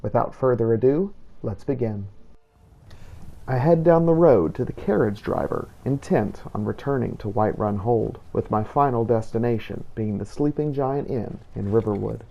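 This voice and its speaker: A man narrating a game playthrough as a scripted first-person story, in a measured storytelling delivery. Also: mid-range voice, gravelly, highs cut off.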